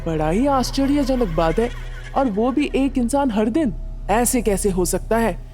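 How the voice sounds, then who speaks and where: A woman's voice: on the high side, lively intonation, a little slow, dark tone. A woman voicing an earnest, plain-spoken village man in a storybook tale. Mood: puzzled. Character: earnest village men: vendor, helpful neighbours.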